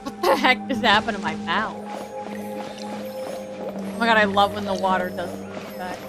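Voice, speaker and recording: A woman's voice: mid-range voice, normal speed. A woman giving casual, blunt, offhand commentary as a game streamer. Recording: game music clearly behind the voice.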